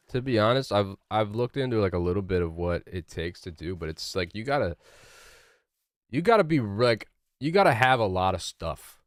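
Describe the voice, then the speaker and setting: A young male streamer with a low, medium-deep voice talks loosely to his chat while he plays, wandering from thought to thought with plenty of "like" and restarts. The sound is dry and close, as if right into a desk mic. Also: dry recording. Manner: rambling, filler-heavy, laid-back. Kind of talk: casual livestream chat while gaming.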